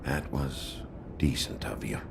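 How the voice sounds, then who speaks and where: A gruff investigator speaks in an extremely deep voice full of gravel. He keeps his questions terse and low-key, as in a game drama, with ambient noise behind him. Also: takes it slowly, heavily acted. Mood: quiet, considerate. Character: gruff, terse male investigator following a lead. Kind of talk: dramatic video game acting.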